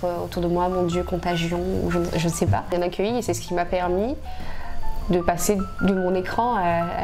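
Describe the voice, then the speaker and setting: A woman in her twenties from southern France, talking frankly as an interview guest with many fillers and restarts. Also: on the low side. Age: 20s. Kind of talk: casual interview talk.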